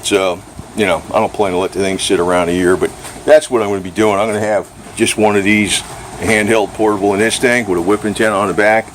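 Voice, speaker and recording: A man with a fairly low voice talks off the cuff in a casual vlog. He rambles informally and swears a little as he shows his gear. There is faint steady noise behind him outdoors. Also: fast talker, poor audio. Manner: rambling, informal, mildly profane. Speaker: vlogger reviewing radio gear.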